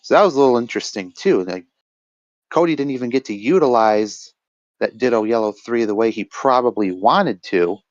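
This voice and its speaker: A man giving casual, reflective analysis as a match co-commentator, often pausing mid-thought over a voice chat. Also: medium pitch, animated, dry recording, muffled recording. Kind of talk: casual esports-style card game commentary.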